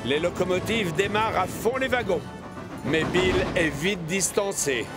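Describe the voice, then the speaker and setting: A man narrating a children's cartoon in French dub as an expressive storyteller. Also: sits high, a little slow, loud music behind the voice.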